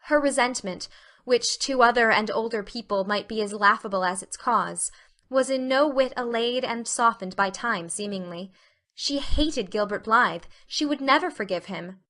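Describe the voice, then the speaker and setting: A woman reads as the narrator of a classic storybook. Her voice is bright and somewhat high, and the delivery is expressive and clearly scripted. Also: moderate pace. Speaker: audiobook narrator.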